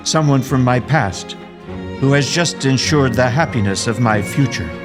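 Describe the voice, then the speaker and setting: A man's voice: mid-range voice, normal speed, close to an everyday voice. A man playing a devoted, earnest husband in an audio drama, speaking dramatically. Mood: solemn, pleading. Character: urgent, devoted husband and father. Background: music.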